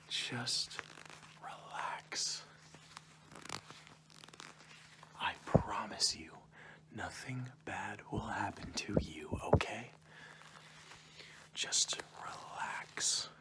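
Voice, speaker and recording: Man acting a whispering, low-voiced coaxing kidnapper. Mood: coaxing, impatient. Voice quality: breathy, hushed whisper. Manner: coaxing, mock-reassuring, slow and deliberate. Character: goofy menacing male kidnapper soothing his captive to sleep. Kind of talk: whispered ASMR roleplay.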